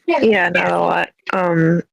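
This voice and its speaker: A woman chatting casually with a podcast group over an online voice call, soft-spoken and hesitant, with ums. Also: fairly low pitch, takes it slowly, creaky, lo-fi sound, crowd noise. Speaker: podcast participant.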